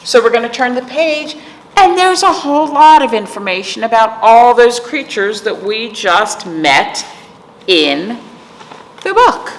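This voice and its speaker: An echoey room surrounds a woman reading aloud to young children. Her pitch moves up and down in a lively sing-song, and she takes her time with each phrase, speaking straight to her small listeners as a storytime host. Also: a little slow. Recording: echoey reverberant room, clear background noise.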